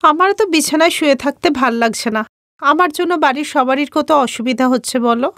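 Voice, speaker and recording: A woman voicing a patient, gentle elder daughter-in-law. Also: sits high, fast talker, no echo, studio quality.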